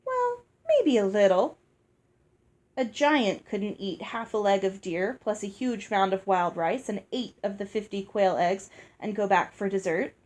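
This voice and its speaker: A woman reading a children's novel aloud as scripted, expressive storybook narration. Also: medium pitch, normal speed. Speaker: audiobook reader.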